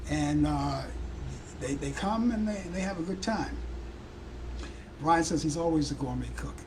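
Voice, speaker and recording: Hoarse Brooklyn-accented talk show host. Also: male voice, on the high side, unhurried, background noise. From Brooklyn, New York. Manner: rambling, chatty, addresses viewers and callers by name. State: hoarse, worn-out voice. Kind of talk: casual live talk show chat.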